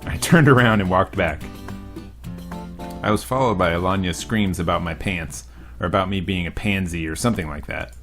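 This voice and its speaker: A man's voice: deep voice, normal speed, music in the background. A young man reading a visual novel aloud as a let's play host, with dry, joking asides.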